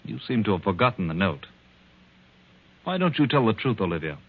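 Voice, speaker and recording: An actor playing a suspicious, controlled husband who questions in a cool, clipped way, in 1940s radio drama style. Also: male voice, on the low side, sing-song, fairly quick, husky, exaggerated voice. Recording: tinny 1940s radio broadcast.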